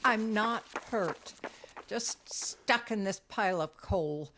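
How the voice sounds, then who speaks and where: Audio drama lead: proud widowed mill boss, unhurried, mid-range voice, self-reliant and plain-spoken. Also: female voice, mid-range voice, a bit bright, slight background noise. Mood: stoic, strained. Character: proud, stubborn older sister, a mill owner's widow.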